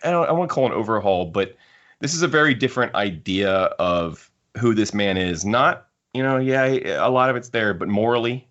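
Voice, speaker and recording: A man's voice: fairly low pitch, animated, moderate pace, fairly bright tone. A man hosting a casual panel discussion about books, talking in an emphatic, opinionated way. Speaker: podcast host. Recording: muffled lo-fi audio.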